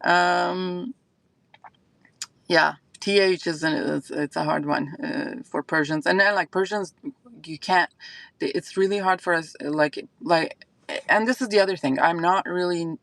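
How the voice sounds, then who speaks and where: A woman's voice: lowish voice, unhurried, gravelly, fairly bright tone, dry recording. A woman from Iran with an Iranian accent, whose first language is Azeri, hosting a casual livestream chat and thinking aloud with lots of fillers. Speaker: fragrance channel host.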